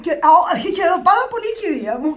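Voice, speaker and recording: Casting: gushing society lady for radio comedy, extremely high voice, mannered and effusive. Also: very animated. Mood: gracious gushing. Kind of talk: radio theatre farce acting.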